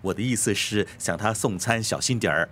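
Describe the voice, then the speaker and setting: Deferential household servant, radio drama. Low, husky, anxious. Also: male voice, fairly quick, fairly bright tone. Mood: obsequious, worried. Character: deferential, anxious male servant fussing over his master.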